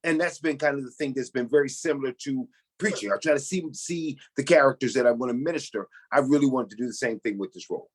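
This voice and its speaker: A man's voice: medium pitch, quick pace. A middle-aged man, a pastor, giving earnest conversational answers as an interview guest. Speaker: pastor and stage actor being interviewed.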